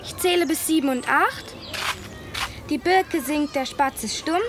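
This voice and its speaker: A performer voicing an eerie childlike figure, chanting a counting rhyme in a measured singsong for a radio play. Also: high-pitched. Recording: clear ambient noise behind voice.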